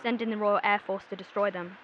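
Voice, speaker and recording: A woman's voice: medium pitch, steady tone, quick pace, highs cut off, natural voice. A young woman playing a commanding, impatient figure in charge during an emergency in a radio drama. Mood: grave, commanding. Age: young adult. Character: commanding military general, bossy and impatient under pressure. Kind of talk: modern radio drama acting. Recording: background noise, outdoor street ambience.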